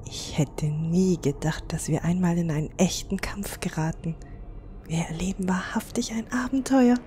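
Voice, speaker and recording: A woman playing a plucky, earnest young dwarf adventurer in a fantasy audio drama, speaking deliberately. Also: fairly low pitch, mellow, a little hiss or hum, lightly acted. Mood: hushed wonder.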